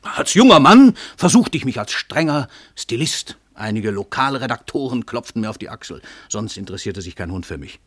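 An actor playing a world-famous crime writer, an arrogant, cynical murderer who declaims in a domineering, emphatic way in a radio drama. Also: male voice, medium pitch, sing-song, normal speed, few pauses, a bit bright, slightly rough, dry recording. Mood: scornful, confessional. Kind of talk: theatrical German radio drama monologue.

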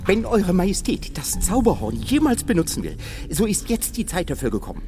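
A man's voice: high-pitched, huge pitch swings, a little fast, music in the background, exaggerated voice. An actor playing a courteous, earnest talking badger who loyally advises his king in a radio play. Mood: earnest, helpful.

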